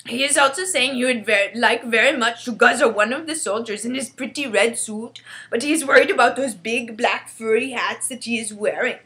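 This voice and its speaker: A woman's voice: fairly high pitch, normal speed, sharp tone. A woman voicing a big male giant from a storybook, stubborn and gloomy, speaking emphatically in muddled grammar. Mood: matter-of-fact. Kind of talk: storybook character voice.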